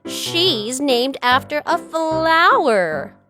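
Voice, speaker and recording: A woman's voice: high-pitched, sing-song, very slow, music in the background, voice pushed up, exaggerated voice. A woman voicing mocking, smug little schoolgirls in a storybook, with emphatic sing-song delivery. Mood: scornful.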